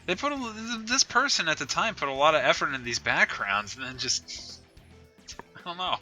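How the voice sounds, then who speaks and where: Man, very bright cutting voice, casual review banter, incredulous, sweary, music behind. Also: on the high side, expressive, moderate pace. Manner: sweary, incredulous, laughs often. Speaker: podcast co-host.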